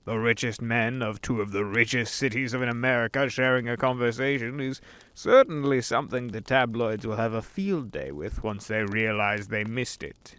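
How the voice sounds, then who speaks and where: An actor playing an ancient, suave devil posing as a gentleman, genteel and condescending in an audio drama. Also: mid-range voice, moderate pace, smooth, lightly acted. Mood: genial. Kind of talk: fan audio drama acting.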